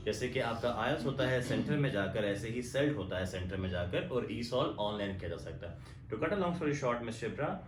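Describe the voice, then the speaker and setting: A man with a Pakistani accent hosting a language exam Q&A, explaining in a measured, step-by-step way. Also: mid-range voice, animated, reverberant, background noise. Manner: mixes Urdu and English, measured explanatory delivery. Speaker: host. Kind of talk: bilingual Q&A on language exams.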